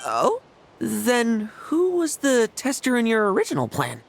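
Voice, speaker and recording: A woman's voice: on the high side, huge pitch swings, unhurried, vocal fry, a little hiss or hum, heavily acted. A woman voicing a confident, irreverent young female warrior in a video game, teasing and breezy. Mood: curious. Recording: game music behind the voice.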